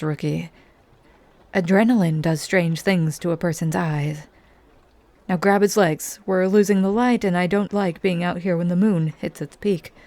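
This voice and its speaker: A woman voicing a hardened female vampire hunter who bosses a rookie around in an audio roleplay, speaking in a low, commanding, teasing way that often sinks to a hush. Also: close to an everyday voice. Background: faint ambient soundscape.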